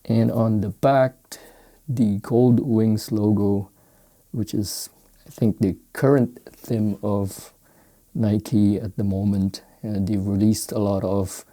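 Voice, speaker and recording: Dark-voiced, unhurried Filipino man. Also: lowish voice, fairly even intonation. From Philippines. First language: Filipino language. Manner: halting, searching for words. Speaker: sneaker unboxing vlogger. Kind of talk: casual unscripted product commentary.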